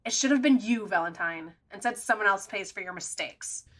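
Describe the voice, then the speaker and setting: An actress playing a blunt, exasperated supernatural sidekick in an audio drama, scolding and sardonic. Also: female voice, mid-range voice, animated, a little fast, lightly acted.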